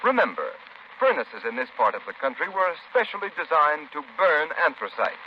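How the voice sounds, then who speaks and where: A man's voice: fairly high pitch, big pitch swings, fairly quick. A man working as a radio announcer, reading a scripted 1930s commercial with emphatic, formal salesmanship. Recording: muffled, noisy 1930s radio broadcast.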